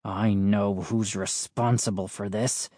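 A narrator voicing a furious middle-aged dad in a storybook, bitter and accusing, with shouted outbursts. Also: medium pitch, big pitch swings, normal speed, no room sound, clean studio sound. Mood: bitter, angry.

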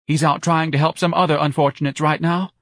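A man's voice: steady tone, a little fast, fairly bright tone, no echo, studio quality. A man voicing an overdramatic, self-pitying middle-aged wife who wails and sobs in an audiobook. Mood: moaning, indignant. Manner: shrill, sobbing, melodramatic wailing. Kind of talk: audiobook character voice.

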